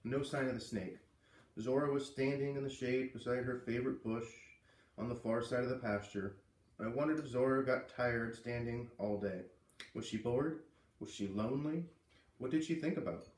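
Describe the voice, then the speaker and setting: A man reading a children's novel aloud as an expressive storyteller. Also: medium pitch, moderate pace. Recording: roomy echo, distant from mic.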